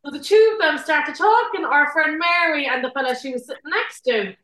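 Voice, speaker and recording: Bright, high-voiced woman in a roomy space. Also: brisk. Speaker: podcast co-host. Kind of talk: casual chat.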